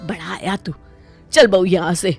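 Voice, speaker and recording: Lady doing an older cartoon mother-in-law, wise, gently telling off, slow and measured. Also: lowish voice, vocal fry, husky, soft background music, voice pushed down, exaggerated voice. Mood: gently scolding. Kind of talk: animated moral story dialogue.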